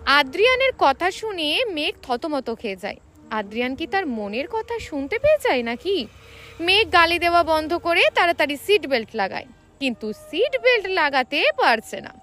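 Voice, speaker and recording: A woman reading a scripted romantic story as an expressive narrator. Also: sits high.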